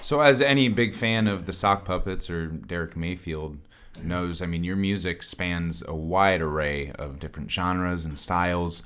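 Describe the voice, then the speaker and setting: An actor playing an earnest, deferential podcast host and devoted fan, sometimes hesitant, in a scripted mock interview. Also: male voice, low voice, measured pace, fairly bright tone. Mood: nervous, eager.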